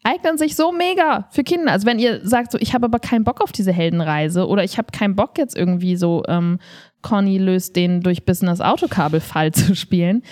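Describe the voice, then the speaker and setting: A woman co-hosts a relaxed podcast conversation. She talks in everyday colloquial language and states her opinions with emphasis. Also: medium pitch, fairly quick. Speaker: podcast co-host and improv performer. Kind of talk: casual conversational podcast chat.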